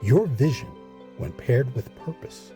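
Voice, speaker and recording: A man's voice: medium pitch, big pitch swings, measured pace, mellow, faint music behind. A man delivering scripted, dramatic documentary narration as the series announcer.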